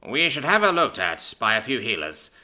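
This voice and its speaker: A man voicing a calm, formally polite captain in a storybook reading. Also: medium pitch, moderate pace, full character voice. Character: formal, calm middle-aged male captain.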